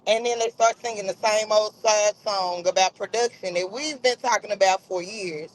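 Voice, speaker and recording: A woman's voice: medium pitch, normal speed, slight background noise, rough recording. A woman guest on a livestream giving her opinion emphatically, repeating phrases and restarting often. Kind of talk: casual opinion chat.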